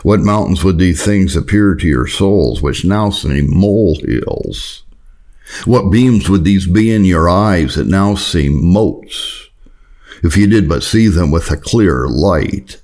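A man's voice: low voice, slow pace, darkish tone. A man reading an old Puritan sermon aloud in a solemn, earnest, exhorting manner. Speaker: reader of historical Puritan sermons.

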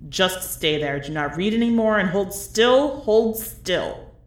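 A woman's voice: medium pitch, expressive, slow pace. A doomed prisoner's inner voice, acted by a woman narrator, bleak and desperate. The warnings come in short, clipped phrases, as in a dramatic story reading.

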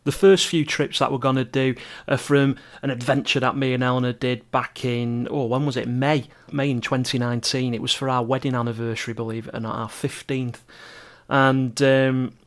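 A man's voice: medium pitch, flat delivery, moderate pace. Wry middle-aged man narrating a travel podcast. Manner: wry, self-deprecating. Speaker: podcast host and travel writer. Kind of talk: scripted travel narration with chatty asides.

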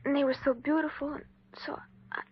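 An actress playing a miserable, ashamed teenage girl in a 1950s radio drama, speaking in a hesitant, plaintive, breathy way. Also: sits high, flat delivery, brisk, close to an everyday voice. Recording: dull lo-fi 1950s radio recording.

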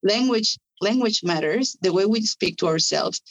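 A woman's voice: medium pitch, normal speed, low-quality recording. A woman whose first language is Spanish, speaking English with a Spanish accent in a casual conversation, eager, with lots of fillers and restarts. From Spanish-speaking country. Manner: enthusiastic, many fillers and restarts.

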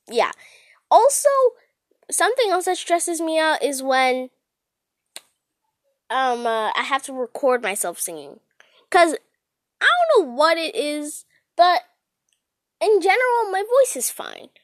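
A young woman with a high, swooping voice rambles casually into a close, dry recording. She is giggly and self-deprecating, stressing words dramatically like an amateur podcast host talking to listeners. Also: takes it slowly, long pauses, dry recording. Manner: animated, dramatic emphasis. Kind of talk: casual rambling solo chat.

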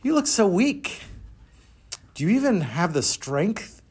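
A storyteller acts out a wry Zen master from a folk tale, mild and unbothered. He teases without hurry, with a lively, moving pitch. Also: breathy edge, heavily acted. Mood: mocking. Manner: mild, mocking, unhurried. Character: calm, teasing old male Zen master, unhurried and wry.

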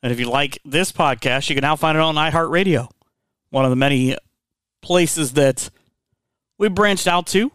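Smooth-voiced sports radio host. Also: male voice, on the high side, moderate pace, pauses often, fairly bright tone, no echo, studio quality. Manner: easygoing, conversational, with fillers. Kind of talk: sports podcast talk.

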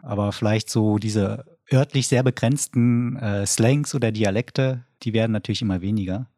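A man from the Eifel region, needling his friends with firm, teasing opinions in quick bursts. His voice is dry and close, with no room sound around it. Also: lowish voice, fairly even intonation, dark tone, dry recording. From Eifel, Germany. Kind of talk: casual chat.

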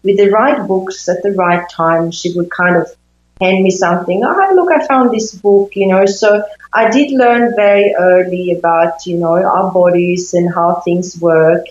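A woman's voice: on the low side, a little slow, darkish tone. A woman in her fifties, originally from Sweden, speaks as an interview guest. She talks thoughtfully, searching for words with frequent fillers. The recording is roomy and somewhat muffled. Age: 50s. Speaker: intimacy coach, guest. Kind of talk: interview conversation. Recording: echoey, dull lo-fi sound.

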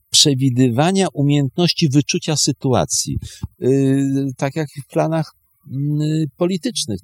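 A man comments on history off the cuff, often pausing to search for the next word. His voice sits in a middle male register and is recorded very dry, with no room around it. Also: mid-range voice, a little mellow, no room sound. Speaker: historian guest.

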